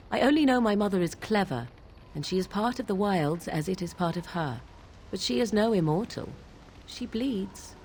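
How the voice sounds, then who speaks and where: An actress playing an aloof, sardonic young witch of the wilds in a fantasy video game, with formal archaic diction and a deliberate, theatrical delivery. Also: medium pitch, creaky, darkish tone, a little hiss or hum. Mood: wry, guarded.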